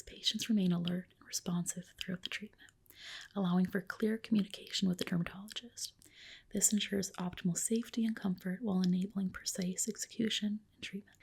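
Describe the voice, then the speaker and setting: A woman whispering softly and breathily in a soothing, reassuring ASMR roleplay as a dermatologist, close to the microphone. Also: mid-range voice, creaky, husky. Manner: soothing, reassuring, unhurried explanations.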